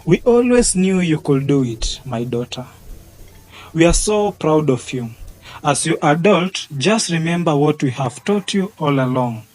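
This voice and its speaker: A man's voice: on the high side, lively intonation, measured pace, darkish tone. Proud Kenyan father in a radio drama man acting. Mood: proud, admonishing. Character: proud, admonishing father of a college-bound daughter. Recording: music behind the voice. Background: background music.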